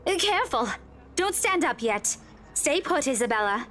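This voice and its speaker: Woman acting high-pitched worried female friend. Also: big pitch swings, normal speed, fairly bright tone, faint music behind. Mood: anxious concern. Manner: urgent, fussing. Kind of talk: dramatic story narration dialogue.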